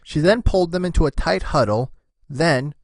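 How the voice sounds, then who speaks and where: A man's voice: a little high, animated, moderate pace, no echo. A man giving scripted storybook narration of a cartoon fan fiction.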